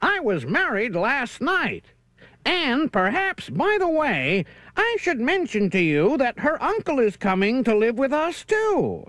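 Male narrator as a fresh, chirping storybook bird, high and lively. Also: measured pace, no echo, studio quality, full character voice. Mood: cocky. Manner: chirpy and cocky.

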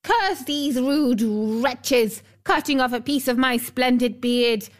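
A woman's voice: a little high, a little slow, lightly acted. A woman voicing an irritable, rude little old male dwarf from a storybook, snapping and scolding. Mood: furious. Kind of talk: storybook character voice.

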